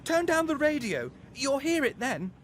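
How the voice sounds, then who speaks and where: A man voicing an excitable, anxious and insistent young schoolboy in a children's story. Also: extremely high pitch, moderate pace, a little hiss or hum, pitched above the performer's own voice, exaggerated voice. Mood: alarmed, insistent.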